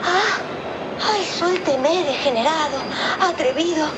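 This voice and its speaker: An actress with an Argentine accent playing a spoiled, frivolous young daughter in a radio play, theatrical and melodramatic. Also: female voice, slow. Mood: panicked, whiny. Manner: theatrical, whiny. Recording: rumbling background noise.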